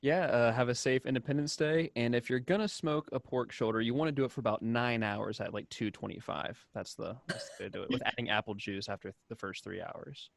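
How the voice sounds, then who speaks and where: A man's voice: medium pitch, fairly quick, gravelly, few pauses, dry recording. An American man joking casually in a group chat on a live podcast. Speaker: voice actor guest on a live podcast.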